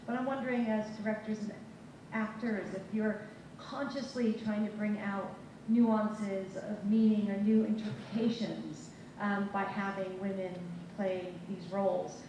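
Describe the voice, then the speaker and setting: A woman's voice: mid-range voice, steady tone, unhurried, hardly any pauses, dark tone. A woman in the audience asking a question hesitantly, with many fillers. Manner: hesitant, lots of ums. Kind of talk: question from the floor. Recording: echoey hall from far off, noisy.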